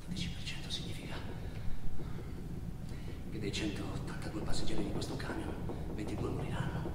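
A man performs an anguished male traveller, a migrant recounting a hard journey as a stage monologue. The delivery is declamatory and intense, built for the theatre. It is heard from far off in a very reverberant hall, with clear noise in the recording. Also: fairly low pitch, monotone, brisk, natural voice. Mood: hushed, grave. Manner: intense, declamatory, swinging from hushed to shouting. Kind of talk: dramatic theatrical monologue narration. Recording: echoey hall from far off, noisy.

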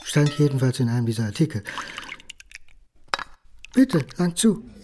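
An actor playing a lonely, bitter, self-mocking drinker, brooding and sardonic, in an intimate radio drama monologue. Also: male voice, medium pitch, big pitch swings, unhurried, noisy background.

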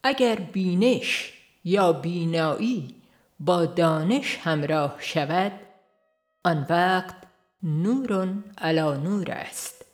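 A narrator delivers scripted religious audiobook text with a solemn, formal bearing and measured phrasing. The voice sits at a medium pitch, with music playing faintly behind it. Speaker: audiobook reader.

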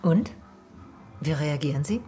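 An actress playing an observant private detective who narrates and questions in a measured, pointed way in a radio drama. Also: female voice, sits low, animated, warm tone, faint music behind. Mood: probing. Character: female private detective, observant, probing, coolly wry.

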